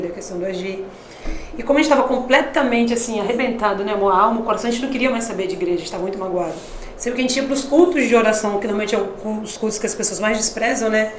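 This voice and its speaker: A woman's voice: medium pitch, a little hiss or hum. A woman from Brasília, a missionary guest, telling stories in casual conversation with frequent laughs. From Brasília, Brazil. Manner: lively storytelling, laughs often. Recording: echoey room.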